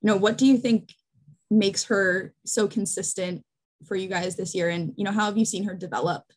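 Polite woman reporter, mid pitch. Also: steady tone, moderate pace, a little mellow. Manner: polite, long wind-up question. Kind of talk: press conference question.

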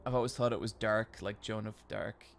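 A man's voice: fairly low pitch, fairly even intonation, a little fast, creaky, a little hiss or hum. Chatty Irish-accented man streaming a game. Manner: chatty, reads and answers chat, swears casually. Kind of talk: casual live-stream commentary while playing. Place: home bedroom. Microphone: desk mic with webcam.

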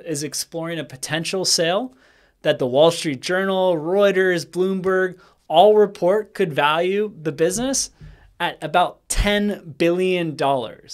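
A man in his thirties gives a skeptical business commentary straight to camera, talking with his hands, in a small bright room with a desk microphone. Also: high voice. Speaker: franchise consultant and channel host. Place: small bright indoor room with bookshelf and plant. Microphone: desk microphone in front of him.

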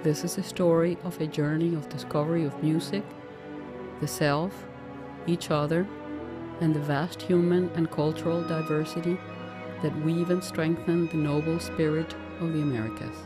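Formal documentary narrator, steady and measured, mid pitch, clear music bed. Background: orchestral music.

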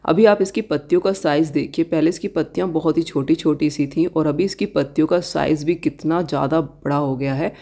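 A woman giving a casual tour of her balcony garden outdoors, gently pointing out plants and giving tips to viewers. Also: low-pitched, gravelly. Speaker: garden vlogger.

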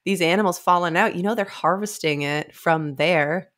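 A woman in her thirties talks through a story in a casual, conversational way. Her voice sits a little low. She speaks into a close microphone as a co-host and sometimes acts out what characters say. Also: normal speed, fairly bright tone. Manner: informal, acting out characters' lines. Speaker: podcast co-host. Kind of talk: casual discussion of a novel. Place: small indoor room, remote video call. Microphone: close studio microphone.

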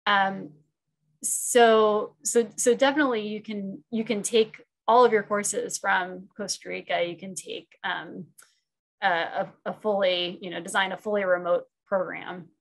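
A woman in her forties, a program chair, answering questions on a video call through wired earbuds. She pauses often and rethinks her answers as she goes. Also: medium pitch, steady tone, poor audio. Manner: hesitant, many fillers and restarts, thinks aloud. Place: room at home.

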